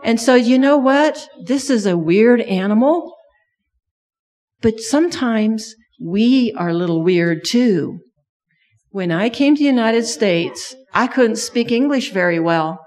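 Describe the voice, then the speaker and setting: A middle-aged woman whose first language is Thai tells a children's sermon story at a slow, unhurried pace. She keeps stopping to ask the children questions as she goes. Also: on the high side, expressive, a little mellow. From Thailand. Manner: asks children many questions.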